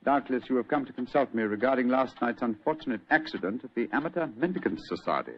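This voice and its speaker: An urbane, shrewd British detective in a vintage radio play. His husky voice swoops in lively, theatrical fashion while staying precise and wry. The sound is dull and dated. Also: medium pitch, fast. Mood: keen, courteous. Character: shrewd, urbane, self-assured gentleman detective. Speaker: actor in a radio mystery. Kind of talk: old-time radio drama acting.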